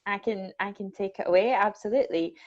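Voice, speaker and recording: A woman's voice: medium pitch, fairly quick, a little mellow, dry recording. A young Scottish woman from the Borders, a welfare manager chatting casually and self-deprecatingly with lots of ums. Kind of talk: casual chat. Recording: dull lo-fi sound.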